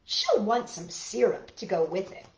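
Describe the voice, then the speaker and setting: A woman's voice: medium pitch, huge pitch swings, a little slow, darkish tone. A woman hosting a children's storytime, reading aloud with exclamations and chatty asides addressed directly to young listeners. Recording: roomy, dull lo-fi sound, faint music.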